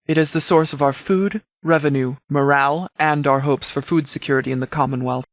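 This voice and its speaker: A man playing a stern, principled post-apocalyptic sheriff in a video game, speaking in a formal, measured, articulate way. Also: a little high, steady tone, dry recording, clean studio sound, lightly acted. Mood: grave, measured. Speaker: voice actor in a game mod. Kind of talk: scripted video game character dialogue.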